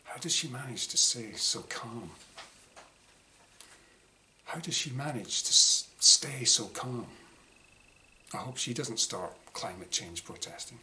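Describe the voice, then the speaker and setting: A man reads a diary-style short story as its author, in a bright, forceful voice of medium pitch. He delivers it dramatically in clipped phrases with pauses. There is some noise behind him. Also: expressive. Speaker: author reading his own short story. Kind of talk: dramatic first-person short story reading, diary entries. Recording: clearly noisy room ambience.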